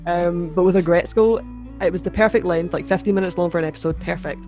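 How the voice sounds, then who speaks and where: A young woman with a mid-range Scottish accent, chatting casually as a podcast guest, quick to laugh and restart her sentences. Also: lowish voice, warm tone, faint music behind. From Scotland. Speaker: podcast guest, anime video creator. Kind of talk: casual chat.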